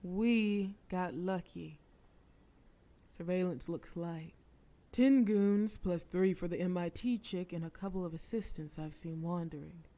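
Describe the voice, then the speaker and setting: Brief: a woman doing a ruthless lady mob boss, mellow voice, teasing and commanding. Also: on the low side, measured pace. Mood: cocky, teasing. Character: cocky, flirtatious female mafia boss, ruthless planner. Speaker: audio roleplay performer. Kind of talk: scripted audio roleplay.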